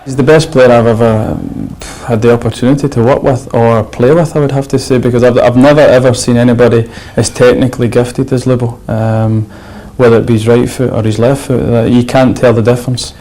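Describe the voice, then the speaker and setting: A man's voice: mid-range voice, level tone, a little fast, hardly any pauses, warm tone, poor audio. Earnest man in interview.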